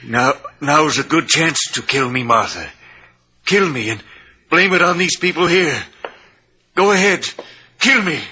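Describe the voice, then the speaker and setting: Weak, anguished accomplice husband in vintage radio drama: husky timbre, forceful edge, animated pitch. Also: male voice, a little slow, pauses often, full character voice. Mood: anguished desperation. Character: weak, henpecked murderous husband, obedient then anguished. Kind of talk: 1950s radio drama acting.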